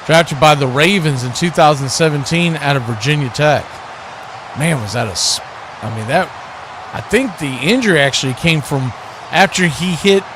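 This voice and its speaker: American guy doing football play by play, kinda high voice, folksy and excitable, noisy rumbly background. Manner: folksy exclamations. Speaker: play-by-play sports commentator on a radio watch party.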